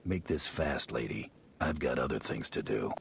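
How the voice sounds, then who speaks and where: An actor playing a menacing, laconic bounty hunter in a video game, curt and deadpan with a gravelly voice. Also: male voice, extremely low pitch, moderate pace, a little hiss or hum, exaggerated voice. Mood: cold menace.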